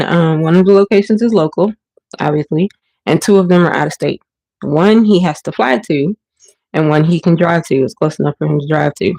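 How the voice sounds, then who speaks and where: Casual home streamer, woman, slightly low voice, stuffy and sniffly, hesitant and meandering, dry kitchen recording. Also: a little mellow, dry recording, poor audio. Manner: rambling, hesitant, many ums and restarts. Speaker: livestream host. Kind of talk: casual livestream chat. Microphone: phone or webcam while washing dishes.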